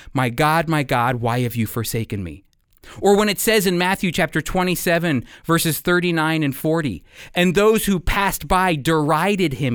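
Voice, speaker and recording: A man delivers a sermon in a smooth, clear voice pitched a little high. He speaks earnestly, leaning on key phrases and reading scripture with dramatic weight. The sound is dry and close. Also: no echo. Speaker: preacher. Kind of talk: sermon with scripture read aloud.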